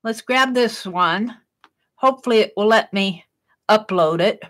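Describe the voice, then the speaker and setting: A middle-aged woman hosting an art livestream, chatting casually with a loud, projected voice while she works and reads chat comments. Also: medium pitch, unhurried.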